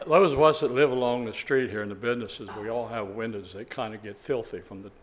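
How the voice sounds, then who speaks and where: A man's voice: mid-range voice, fairly quick. Folksy older man at the public mic. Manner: folksy, rambling, chuckling asides. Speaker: resident giving public comment. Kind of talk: public comment at a town meeting.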